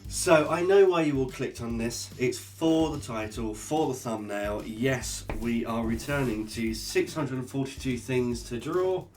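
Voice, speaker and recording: A man's voice: mid-range voice, unhurried, few pauses, a little mellow. A man hosting a casual drawing vlog, chatty and jokey as he sketches and talks. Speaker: art channel host. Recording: guitar music playing underneath. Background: guitar music.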